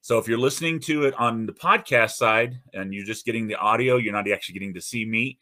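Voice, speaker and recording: A man's voice: fast, sharp tone. A man hosting his own podcast in a casual, earnest and encouraging monologue, often restarting his phrases. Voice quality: deep radio-style voice. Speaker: host.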